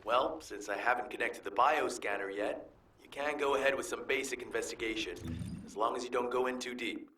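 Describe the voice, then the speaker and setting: An actor voicing a steady, authoritative male field commander in a video game, giving clear, instructive briefings. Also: medium pitch, fairly quick, a little hiss or hum, natural voice, low-quality recording. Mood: businesslike.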